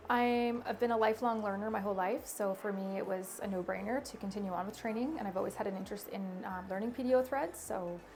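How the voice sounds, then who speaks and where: A woman speaks with a gravelly, creaky edge, pausing on fillers as she explains her views. Her voice sits mid-range, with faint room noise behind her. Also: medium pitch. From Canada. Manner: thoughtful, hedging with fillers. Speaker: nurse practitioner. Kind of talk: testimonial interview answers.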